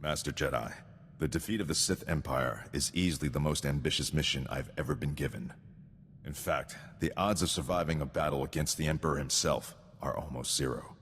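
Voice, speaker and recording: An actor playing a stern, dutiful sergeant in a video game, speaking formally and precisely, coldly devoted to victory. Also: male voice, a bass voice, brisk, a bit bright, in a large hall, faint music behind. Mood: earnest, formal. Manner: formal, precise, recites statistics. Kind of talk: scripted video game acting.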